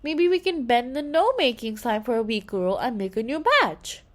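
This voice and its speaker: Woman reading as a gentle, high-voiced storybook mom. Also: very animated, moderate pace. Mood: gentle, consoling. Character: caring, consoling mother of an eight-year-old girl.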